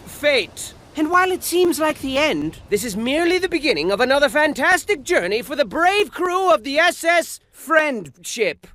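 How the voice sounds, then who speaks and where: A man voicing a manic, scatterbrained male storybook narrator in a comic game, who tells his story theatrically and shouts out sound effects. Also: extremely high pitch, big pitch swings, moderate pace, few pauses, a little hiss or hum, heavily acted. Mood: excitable. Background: music.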